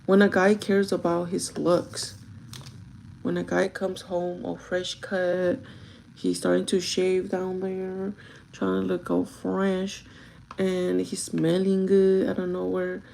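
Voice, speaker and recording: A young woman with a gravelly, groggy voice chats casually and directly to her viewers, giving advice in an informal vlog style. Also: lowish voice, monotone, slow, darkish tone, slight background noise. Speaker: vlogger chatting while doing makeup.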